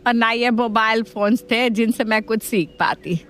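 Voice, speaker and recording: A woman playing a doting, gullible grandmother with a homely, indulgent manner in an animated family story. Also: on the high side, normal speed, a little hiss or hum, close to an everyday voice. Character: kindly, gullible, doting grandmother and mother-in-law.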